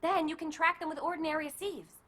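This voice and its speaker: An actress voicing an eager, upbeat, energetic young female scout in a video game, with a bubbly manner. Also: very high voice, fast talker. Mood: helpful, upbeat.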